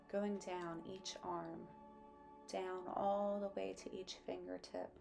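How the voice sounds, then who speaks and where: A woman narrating a guided meditation in a gentle, soothing, unhurried manner. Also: fairly low pitch, vocal fry.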